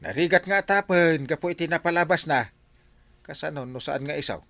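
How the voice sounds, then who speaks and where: A man's voice: on the high side, brisk, creaky, fairly bright tone, no echo. A man with a Filipino Ilocano accent playing a fair-minded, skeptical village captain in a colloquial comedy drama. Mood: skeptical, reasoning. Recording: faint music bed.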